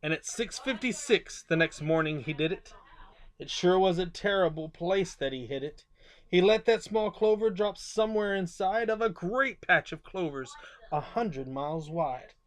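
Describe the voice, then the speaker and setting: A storyteller giving an expressive children's book read-aloud, with casual asides. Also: medium pitch, normal speed.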